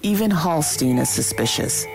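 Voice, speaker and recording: A woman's voice: deep voice, huge pitch swings, moderate pace, gravelly, over music, low-quality recording. A woman hosting an audio drama, reading scripted promotional narration. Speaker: show host and announcer.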